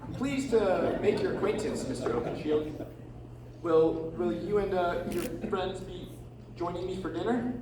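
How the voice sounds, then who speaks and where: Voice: medium pitch, measured pace, lightly acted. A performer playing a flustered, fussy male hobbit homebody, polite but easily alarmed, in a readers theatre performance. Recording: echoey room from far off, faint noise.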